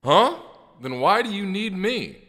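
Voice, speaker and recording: A man's voice: high-pitched, sing-song, unhurried, sharp tone, heavily acted. A man voicing a blunt, brash squad captain in an anime fan dub, brusque and informal. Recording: long hall reverb.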